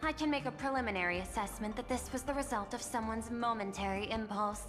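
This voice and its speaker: An actress playing a poised, guarded corporate executive in a video game, speaking formally and with measured deliberation. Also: female voice, fairly high pitch, lightly acted. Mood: composed, guarded. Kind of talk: scripted game character dialogue. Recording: long reverb, music behind.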